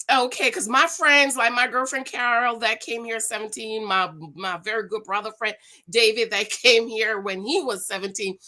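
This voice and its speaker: A loud, chatty woman with a Jamaican-American accent and a bit of the American South in it. Her voice sits a touch high and sounds bright and forceful. She talks casually at home into her computer's built-in mic, filling gaps with "um" and "honey.". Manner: loud, chatty, animated, many fillers. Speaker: reality TV recap vlogger. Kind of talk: casual talk to camera. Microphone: computer built-in mic, no microphone.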